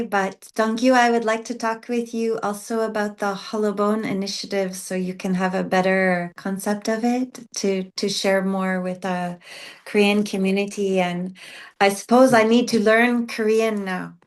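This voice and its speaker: A woman sharing her thanks with an online group, warm and unhurried. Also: medium pitch, steady tone. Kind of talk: casual heartfelt sharing on a video call. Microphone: video call.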